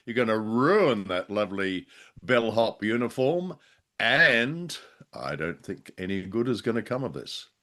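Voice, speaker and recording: A man's voice: fairly low pitch, big pitch swings, unhurried, a bit bright. An Australian man co-hosting a conversational review show, talking in a wordy, wry way. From Perth, Western Australia. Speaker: podcast co-host. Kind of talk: conversational radio drama review.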